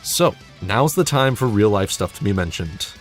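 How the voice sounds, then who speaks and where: Young guy w a lowish voice doing a casual update vid, kinda dry n self-deprecating, guitar music under it. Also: animated, moderate pace. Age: young adult. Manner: wry, self-deprecating, sweary. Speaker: hobby narrator and audio play director giving a channel update. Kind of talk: casual scripted video update.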